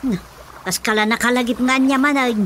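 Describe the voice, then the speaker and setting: A woman's voice: on the high side, steady tone, fairly quick, fairly bright tone, a little hiss or hum, natural voice. A woman playing an exasperated, questioning wife in an Ilocano radio comedy-drama, full of doubtful exclamations. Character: old man's skeptical, questioning, exasperated wife.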